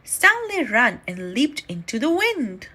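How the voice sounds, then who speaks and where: A woman reading a children's story aloud in an animated, deliberate storytelling manner. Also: high voice, husky. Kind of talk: expressive read-aloud storytime narration.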